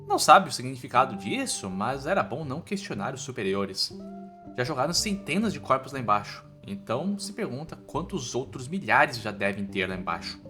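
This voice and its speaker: Bright, animated Brazilian male recap narrator. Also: medium pitch. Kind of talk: informal scripted story narration. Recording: music behind the voice.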